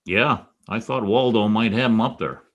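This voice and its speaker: A man plays a hard-boiled private eye in a radio detective drama. His voice sits fairly low, with a wry, knowing delivery and a dogged streak. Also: normal speed, fairly bright tone, dull audio. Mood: persuasive, businesslike. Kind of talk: old-time radio detective drama re-creation.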